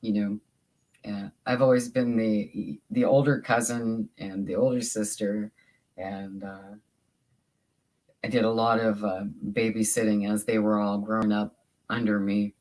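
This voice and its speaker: A livestream host with a soothing voice, chatting casually with viewers while crafting, halting and restarting often. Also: medium pitch, flat delivery, darkish tone, poor audio. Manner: slow and halting, with many repeated starts.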